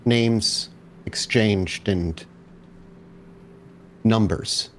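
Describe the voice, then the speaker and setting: A man's voice: lowish voice, drawn-out words, slight background noise. A man voicing a courteous female messenger with hesitant, formal phrasing in a tabletop roleplaying game. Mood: courteous, businesslike. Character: polite, courteous female messenger with long red nails.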